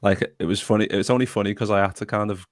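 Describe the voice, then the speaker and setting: A man's voice: fairly low pitch, steady tone, very fast, no room sound, clean studio sound. A young man hosting a casual podcast chat and reading out viewer comments. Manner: chatty, reads out viewer comments. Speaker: podcast host.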